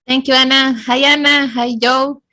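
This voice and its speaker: A woman's voice: sits high, flat delivery, normal speed, pushed and bright. A woman in her thirties with a Costa Rican Spanish accent, giving a short friendly self-introduction on a video call. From Costa Rica. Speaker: webinar assistant from partners team. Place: indoor room. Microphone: desktop microphone on video call.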